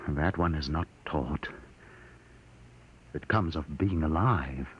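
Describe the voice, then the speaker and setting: An actor playing a solemn, compassionate spaceship captain in a 1950s radio drama, speaking with measured earnestness. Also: male voice, extremely low pitch. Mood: tender, regretful. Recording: muffled vintage 1950s radio recording.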